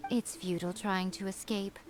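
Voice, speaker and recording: A woman's voice: mid-range voice, fairly even intonation, normal speed, a little hiss or hum, lightly acted. A woman voicing a sly, teasing, commanding shrine maiden in a video game, composed and theatrically mocking. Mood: coolly menacing.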